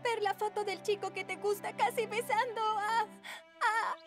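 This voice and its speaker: An extremely high teenage girl in a cartoon. She is overwrought and accusing, delivering everything with plaintive drama. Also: fairly even intonation, unhurried, over music. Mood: wailing. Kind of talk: dubbed cartoon acting.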